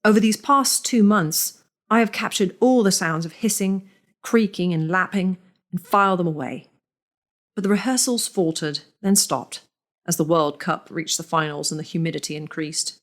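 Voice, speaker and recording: A woman reads a first-person story aloud. Her voice sits somewhat low, and her delivery is deliberate and measured, with lingering pauses between phrases. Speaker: narrator.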